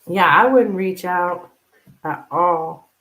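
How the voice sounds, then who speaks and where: A woman hosting a casual live tarot reading, speaking informally and haltingly as if thinking aloud. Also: fairly low pitch, fairly bright tone. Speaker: tarot reader, live stream host. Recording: roomy, echoing live stream sound.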